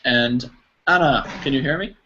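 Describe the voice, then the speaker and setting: A man's voice: mid-range voice, moderate pace, bright, forceful tone, slight background noise. A man, teaching an English class online in a friendly, encouraging way with simple words. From New Jersey, United States. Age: 20s. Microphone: video call. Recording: muffled video call audio.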